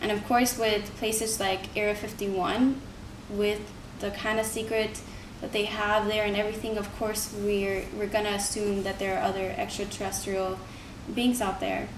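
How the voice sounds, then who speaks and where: A woman's voice: mid-range voice, little pitch movement, normal speed, few pauses. Echoey, distant young woman giving a speech. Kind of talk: persuasive classroom-style speech. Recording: roomy, distant mic, noisy background.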